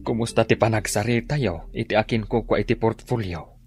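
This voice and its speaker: A man's voice: fairly low pitch, a little fast, darkish tone, faint music behind, close to an everyday voice. A man with a Filipino accent playing a delighted, doting father in an Ilocano radio drama. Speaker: drama actor.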